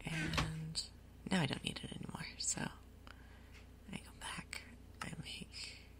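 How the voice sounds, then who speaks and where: A woman giving soft-spoken ASMR game commentary in a breathy, gentle, unhurried way. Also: low-pitched, monotone. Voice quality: breathy, whispery. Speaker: gaming video host.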